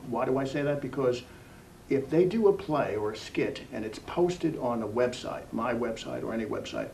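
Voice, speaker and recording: A man hosting a local cable interview, speaking in a deliberate, emphatic way. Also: animated, darkish tone. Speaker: interviewer, TV program host. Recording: distant mic, faint rumbling noise.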